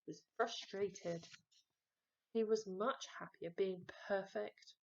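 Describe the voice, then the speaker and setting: Creaky-voiced woman reading a children's story. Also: medium pitch, steady tone, slow pace, a little mellow, lo-fi sound. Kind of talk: expressive children's story narration.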